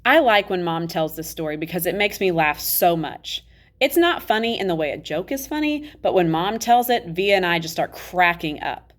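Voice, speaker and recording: A woman's voice: on the low side, big pitch swings, normal speed. A woman reading a children's novel as its candid, matter-of-fact ten-year-old boy narrator, in a confiding, conversational way. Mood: fond, amused. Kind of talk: children's novel read-aloud.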